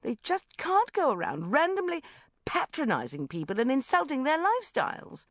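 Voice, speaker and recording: A woman's voice: high-pitched, huge pitch swings, moderate pace, no echo, clean studio sound, voice pushed up. A woman voicing a combative, sarcastic, outspoken female friend who growls indignantly, as an audiobook character. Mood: scornful.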